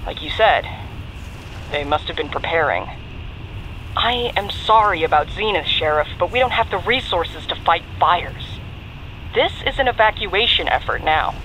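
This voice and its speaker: An actress playing a brisk National Guard general in an audio drama, direct, controlled and authoritative. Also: female voice, lowish voice, lively intonation, sharp tone, slight background noise, rough recording. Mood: firm, grave. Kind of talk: audio drama dialogue over radio.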